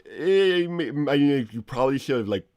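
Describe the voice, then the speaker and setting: A man playing a nerdy, moralizing cartoon inventor creature in improvised banter, halting and pedantic, often hedging as he argues. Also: mid-range voice, lively intonation, darkish tone, no room sound, close to an everyday voice. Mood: evasive, defensive. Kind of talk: improvised in-character livestream banter.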